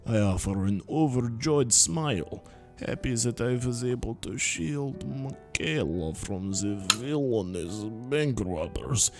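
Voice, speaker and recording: A young man streaming, reading visual novel narration aloud in a laid-back, deadpan way. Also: mid-range voice, slow pace, few pauses, music in the background.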